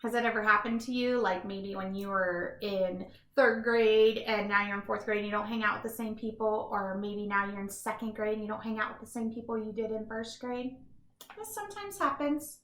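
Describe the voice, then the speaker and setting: A woman's voice: medium pitch, normal speed, a bit bright. A woman teacher reading a picture book aloud, expressively and speaking directly to young listeners. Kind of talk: children's story read-aloud. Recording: echoey room sound.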